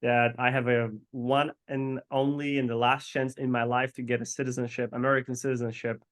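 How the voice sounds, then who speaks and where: Man host, halting speech. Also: medium pitch, little pitch movement, a bit bright. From Poland. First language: Polish. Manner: halting, repeats words while searching for them. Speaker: podcast co-host. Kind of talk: casual interview.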